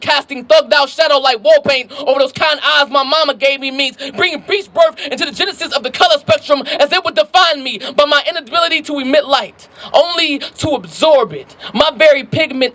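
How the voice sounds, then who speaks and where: High-pitched American man performing spoken-word poetry. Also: fairly bright tone. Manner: emphatic, rhythmic, declamatory delivery. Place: outdoor plaza at a rally.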